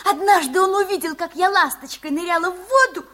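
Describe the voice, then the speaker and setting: A wise old horse who is still a girl at heart, with a very high voice. The manner is folksy and resigned, full of instruction, as in a fairy-tale radio drama. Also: a little fast, sharp tone, slight background noise. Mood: resigned, advising.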